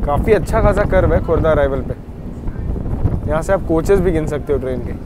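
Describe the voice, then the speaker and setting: A man's voice: on the high side, brisk. A young man filming a casual travel vlog on a train, chatting to viewers and recommending food as he goes. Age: young adult. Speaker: travel vlogger. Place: on a moving train and station platform. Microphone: camera held at arm's length. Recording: clear train and outdoor noise.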